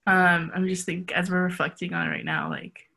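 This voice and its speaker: Chatty woman with a gravelly edge. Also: on the low side, big pitch swings, normal speed, pushed and bright. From Egypt, raised in San Diego. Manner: chatty, lots of "like" and "um". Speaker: PhD student. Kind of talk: casual group chat. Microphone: video call.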